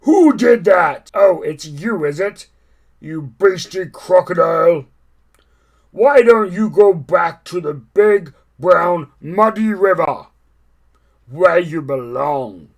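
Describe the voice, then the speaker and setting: A storyteller voicing a big elephant with a deep, booming, scolding voice. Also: animated, slow pace. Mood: outraged.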